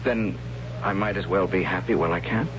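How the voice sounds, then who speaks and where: An actor playing a gentle, dreamy young captive in a radio drama, speaking in a measured, reflective, questioning way. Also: male voice, deep voice, noisy background, close to an everyday voice. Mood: quietly questioning. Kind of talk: 1970s radio drama acting. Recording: dull lo-fi 1970s radio broadcast with music.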